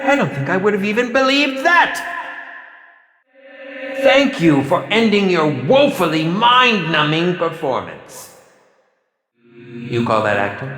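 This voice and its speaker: A cruel, pompous movie director from a video game, high-voiced and swooping wildly between sneer and shout. He draws his words out slowly with theatrical, sarcastic condescension, ringing through a reverberant hall. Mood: scornful, sarcastic, then contemptuous, exasperated. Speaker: film director and announcer character in a video game. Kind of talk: video game villain announcer voice acting. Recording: echoey hall reverb over music.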